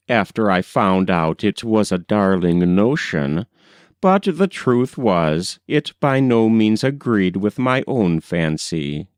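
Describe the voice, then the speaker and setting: A man narrating an old-fashioned literary novel as an audiobook reader, with measured, deliberate phrasing. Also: fairly low pitch, a little mellow, no echo, clean studio sound. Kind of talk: scripted literary narration of a 19th-century novel.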